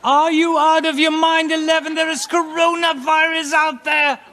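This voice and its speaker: A man putting on the voice of an exasperated male police officer who shouts in disbelief. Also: extremely high pitch, level tone, normal speed, pitched above the performer's own voice, exaggerated voice. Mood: incredulous. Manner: incredulous, shouting.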